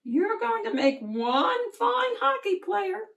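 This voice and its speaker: A woman voicing a spirited, proud, hockey-loving grandmother in a storybook, expressive and encouraging. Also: extremely high pitch, slow, far from the mic, voice pushed up, full character voice, poor audio. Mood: proud hollering. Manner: exclaiming, expressive.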